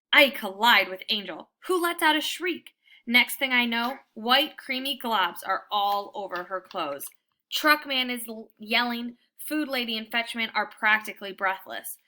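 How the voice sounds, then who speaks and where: A woman reading a children's book aloud as storyteller, with expressive, dramatic and deliberate phrasing for young listeners. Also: fairly high pitch.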